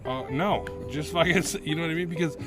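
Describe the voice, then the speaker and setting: A young man, a cook co-hosting a podcast, talking casually with lots of swearing; his voice is low, nasal and mumbling. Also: fast, music in the background. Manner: swears a lot, rambling, laughs often. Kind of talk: casual profane chat.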